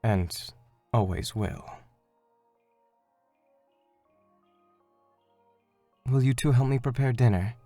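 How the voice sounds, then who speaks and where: A man playing a calm, earnest mentor of a spiritual order in an animated fandub, speaking in a measured, solemn way. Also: mid-range voice, no echo, faint music behind, lightly acted. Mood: explanatory.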